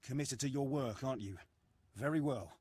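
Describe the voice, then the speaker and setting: An actor playing an ambitious, resentful military sergeant in a video game, formal, clipped and self-important. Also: male voice, medium pitch, normal speed, a little mellow, husky, no room sound, close to an everyday voice. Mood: bitter, resigned. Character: ambitious, resentful, impatient male military sergeant of an evil empire.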